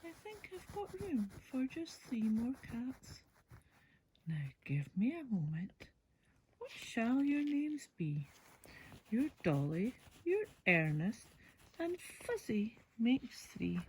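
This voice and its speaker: A woman voicing a kindly, doting cat lady from a bedtime storybook, in a breathy, affectionate sing-song. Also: on the high side, sing-song, slow, slight background noise, voice pushed up, low-quality recording. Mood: doting, welcoming. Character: kindly, doting woman who lives with many cats. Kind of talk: bedtime storybook character reading.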